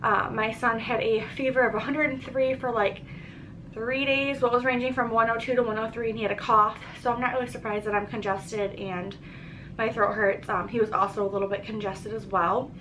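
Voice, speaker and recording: A young pregnant woman talks through her weekly update in a loose, chatty way, sprinkling in ums and restarting her sentences. Her voice sits a little high and sounds stuffy and short of breath. A faint background noise runs under the recording. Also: steady tone, fairly quick. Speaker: pregnancy vlogger. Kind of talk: casual pregnancy update vlog.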